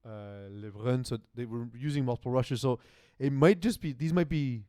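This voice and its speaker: A man co-hosting a sports podcast, talking in a casual, animated way with quick asides. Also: mid-range voice, smooth, no room sound, clean studio sound. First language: not French. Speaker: podcast co-host.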